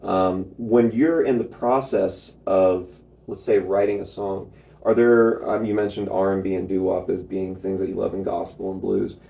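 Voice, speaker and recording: A man with a low, gravelly, warm voice interviews a guest in a roomy room. He affirms often and asks follow-up questions. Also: little pitch movement, normal speed, highs cut off. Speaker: interviewer and pianist. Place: indoor room at music headquarters.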